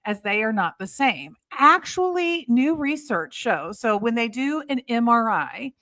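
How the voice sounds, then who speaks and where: A woman with a fairly high voice hosts a podcast interview. She explains ideas at length and stops to think, with many fillers, and her voice sounds close and dry. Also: sing-song, a little slow, pushed and bright, no room sound. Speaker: podcast host.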